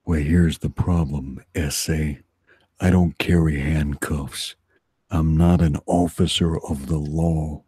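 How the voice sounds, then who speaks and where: A man playing a stern, unflappable vigilante hero in an amateur comic-book dramatization, delivered in a slow deadpan drawl. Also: extremely low pitch, husky, no echo, studio quality, heavily acted.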